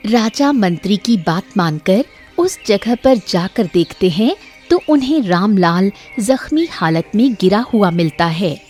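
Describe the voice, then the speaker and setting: A woman narrates a folk tale in a scripted storytelling style. Her voice sits at an average female pitch, with light music playing underneath. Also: expressive, unhurried, a little mellow.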